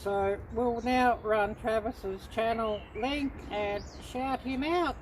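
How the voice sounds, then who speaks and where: A middle-aged woman from Australia hosting a casual livestream, chatting unhurriedly to viewers with many pauses and ums. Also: fairly high pitch, a little hiss or hum, poor audio. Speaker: livestream host.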